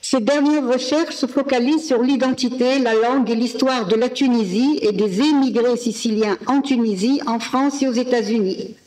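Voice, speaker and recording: A woman moderator reading a speaker's biography from notes at a desk mic, with pauses and fillers. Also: on the high side, darkish tone, low-quality recording. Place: ornate hall indoors. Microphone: desk gooseneck mic.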